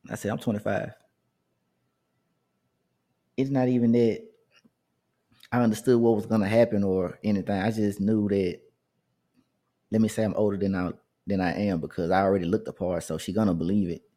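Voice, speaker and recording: A man's voice: on the low side, fairly even intonation, fairly quick, mellow. A man in his thirties telling a personal story in a casual, rambling way, with asides to his listeners. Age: 30s. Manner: conversational, rambling with restarts and asides.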